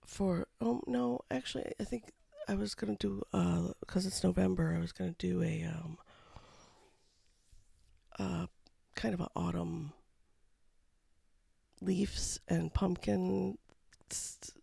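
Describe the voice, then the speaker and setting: An artist talking casually over a painting time-lapse, with a froggy voice from a cold and a low-key, rambling manner. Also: fairly even intonation, slow pace, creaky, long pauses, dark tone, slightly rough, no room sound, studio quality. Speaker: watercolor artist. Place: home studio.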